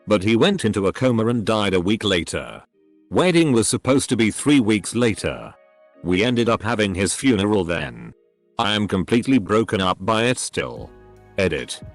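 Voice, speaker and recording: A man with a lowish, lively voice narrates personal stories from the internet. His pitch moves around freely as he reads the script. Faint music plays behind him.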